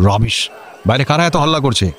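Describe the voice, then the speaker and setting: A man's voice: medium pitch, expressive, a little fast, a bit bright. An actor playing a self-important, easily rattled district magistrate in a radio comedy, mixing English into his officious orders. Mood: panicky, scolding. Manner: officious, peppers Bengali with English. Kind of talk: Bengali radio comedy acting. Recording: faint background noise.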